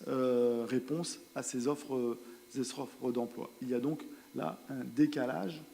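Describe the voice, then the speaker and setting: A man presents findings to a meeting in a mellow, soft-edged voice of medium pitch. His delivery is halting, often repeating small words as he explains. The sound carries the long reverb of a large hall. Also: fairly even intonation, vocal fry. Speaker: presenter of a territorial project at a council meeting. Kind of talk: spoken presentation of survey results and strategy, partly improvised.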